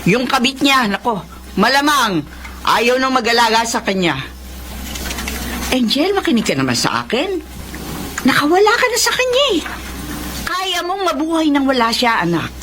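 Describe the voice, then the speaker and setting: Radio drama grandmother: nagging, protective, emphatic, highish voice with big swings in pitch. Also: big pitch swings, moderate pace, pauses often, fairly bright tone, slight background noise. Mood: exasperated, pleading. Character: scolding, protective older Filipina grandmother and mother.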